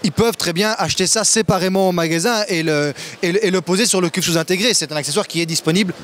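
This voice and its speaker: A man in his thirties hosting a casual product demo at a trade fair, lively and questioning, with some stammers. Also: high voice, fast, a little hiss or hum. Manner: lively, enthusiastic, asks questions, stammers at times. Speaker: kitchen store host. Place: large indoor trade fair hall. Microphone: handheld mic.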